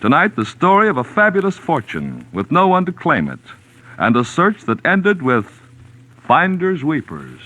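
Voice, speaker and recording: A man's voice: on the high side, huge pitch swings, moderate pace, background noise. A man with an American accent, announcing in a polished, persuasive 1940s radio sponsor style. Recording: muffled vintage 1940s radio recording.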